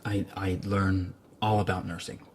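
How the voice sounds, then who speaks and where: A man's voice: low-pitched, little pitch movement, unhurried, darkish tone. An actor playing an awkward, wisecracking young male graduate student in a radio drama, stammering and self-deprecating. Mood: nervous, eager. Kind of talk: naturalistic radio drama acting. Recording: radio drama with background noise.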